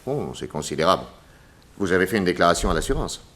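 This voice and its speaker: An actor playing a courteous, composed private detective with a polite, measured, suave manner, in 1960s French radio drama style. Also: male voice, low-pitched, very animated, a bit bright, full character voice. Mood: businesslike.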